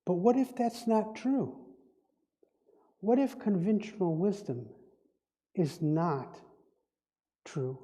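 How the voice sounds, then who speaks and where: Slow, dark-voiced man. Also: high voice, big pitch swings. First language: Dutch. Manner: reflective, musing, circles back on words. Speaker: guest speaker. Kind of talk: spiritual talk. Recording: echoey hall reverb.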